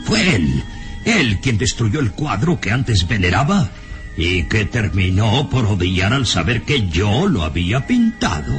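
A nervous, insinuating professor in theatrical radio drama style. His lowish voice swings wildly in pitch and hesitates, over soft music. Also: sing-song, unhurried. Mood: conspiratorial.

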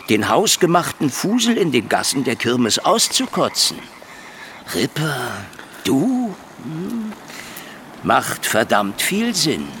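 Sardonic hard-boiled detective, swinging pitch. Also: male voice, fairly bright tone, a little hiss or hum, exaggerated voice. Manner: ironic, drawling taunts and mock endearments. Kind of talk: radio play acting with noir first-person narration.

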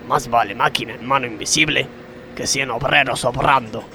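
An actor playing a scheming, mock-solemn factory worker with an Argentine accent in a radio comedy sketch. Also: male voice, medium pitch, normal speed, gravelly, bright, forceful tone, slight background noise. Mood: conspiratorial. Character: scheming, work-shy, football-loving male factory worker.

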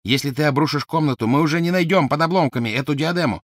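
A man's voice: mid-range voice, very animated, normal speed, sharp tone, no echo, clean studio sound, pitched above the performer's own voice. A man voicing a brittle-voiced, tense teenage leader. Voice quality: cracking, brittle voice. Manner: tense, irritated, commanding. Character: proud, nervous teenage boy wizard leader. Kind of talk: audiobook character voice.

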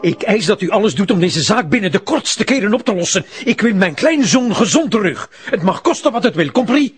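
A man's voice: high-pitched, sing-song, a little fast, faint music behind. A man playing an imperious, agitated old grandfather who demands action in a crime drama. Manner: commanding, indignant. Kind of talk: crime audio drama acting.

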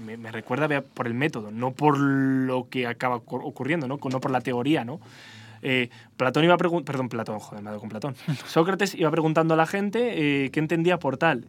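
Spanish man, podcast host, explains theory in animated bursts, keeps correcting himself; light hiss on the track. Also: on the high side. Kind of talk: philosophical debate.

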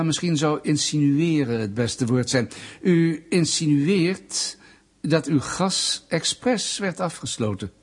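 An actor playing a smug, menacing state official in a Dutch radio play, mock-polite, pedantic and insinuating. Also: male voice, fairly high pitch. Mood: mock sympathy.

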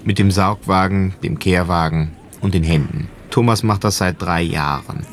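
A man's voice: low voice, normal speed, a little hiss or hum. A man reading scripted documentary narration.

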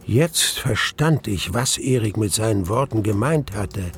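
An actor playing a grave man who recounts mortal terror, narrating a radio play deliberately and with weighted pauses. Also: fairly low pitch, animated, soft background music. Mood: dread. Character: grave male narrator recalling his mortal terror in a cellar. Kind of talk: dramatic first-person radio play narration.

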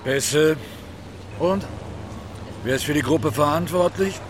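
Radio play border guard, male: highish voice, condescending and brusque, noisy street behind him. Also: slow. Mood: brusque contempt. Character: gruff, contemptuous American male border guard. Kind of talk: audio drama dialogue.

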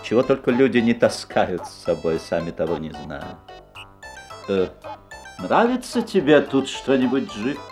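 A man's voice: on the low side, very animated, measured pace, darkish tone, faint music behind. An actor playing a sly magic-shop salesman with courteous, insinuating, theatrical patter in an audio fairy tale. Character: smooth, sly magic-shop salesman, mocking and eerily obliging. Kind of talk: Soviet-era audio fairy-tale acting.

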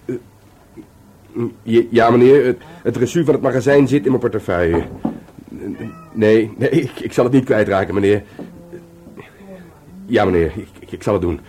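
An actor playing a grumbling but playful police detective in a radio play, speaking informally with a Netherlands Dutch accent. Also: male voice, lowish voice, normal speed, long pauses, warm tone, natural voice. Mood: deferential, flustered. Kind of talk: naturalistic radio play dialogue. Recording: faintly noisy radio play recording.

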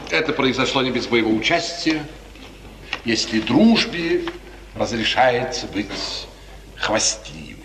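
An actor playing a smooth, scheming courtier in a florid, insinuating, falsely friendly manner, in 1950s radio theatre style. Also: male voice, mid-range voice, big pitch swings, unhurried, a bit bright, distant mic. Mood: unctuous. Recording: noisy echoey 1950s radio drama recording.

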